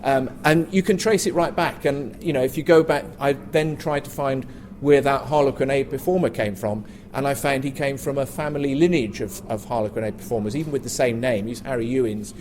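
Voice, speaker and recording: A man's voice: medium pitch, darkish tone, a little hiss or hum. A man speaking as a panelist, telling anecdotes in a live panel talk at a theatre.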